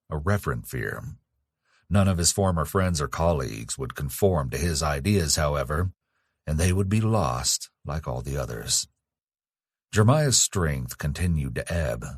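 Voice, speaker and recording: A man with a husky voice reading a dark fantasy horror audiobook in a solemn, dramatic, measured storytelling manner. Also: sits low, no room sound, clean studio sound. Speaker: author narrating own audiobook.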